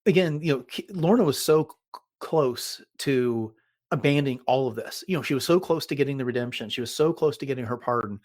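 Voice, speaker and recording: A man with a mid-pitched voice talks as a podcast host. He recaps and reviews in a casual, conversational style, thinking aloud as he goes. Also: fairly quick, darkish tone.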